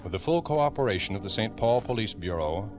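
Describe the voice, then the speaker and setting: An actor as a grave, formal narrator of a scripted old-time radio crime drama. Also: male voice, fairly low pitch, animated, fairly quick, loud music behind the voice, lightly acted. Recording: dull vintage radio recording.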